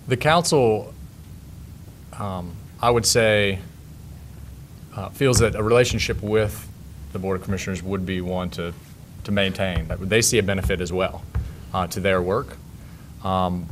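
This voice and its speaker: A man representing a local council, answering questions at a county meeting in a measured way with many fillers. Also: fairly low pitch, noisy background. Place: county board meeting room.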